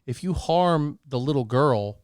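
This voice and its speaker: Emphatic, clear-voiced man chatting casually. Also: medium pitch, lively intonation, measured pace, dry recording, studio quality. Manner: emphatic, opinionated, acts out imagined quotes. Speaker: talk show panelist. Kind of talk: casual chat about movies.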